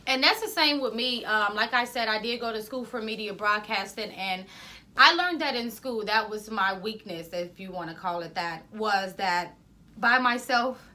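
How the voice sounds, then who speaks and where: A woman from Ohio co-hosting a casual podcast, loud and rambling. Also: medium pitch, normal speed. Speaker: podcast co-host.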